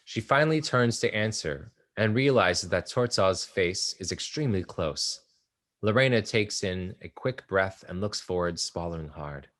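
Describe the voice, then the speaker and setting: A man reading the stage directions aloud at a play table read, in a plain, measured manner. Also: sits low, lively intonation, a bit bright.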